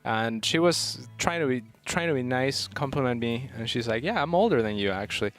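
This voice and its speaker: A man's voice: mid-range voice, lively intonation, fairly quick, fairly bright tone, soft background music. A man in his thirties giving casual esports commentary, often repeating words as he goes. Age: 30s. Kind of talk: casual chat, esports analysis.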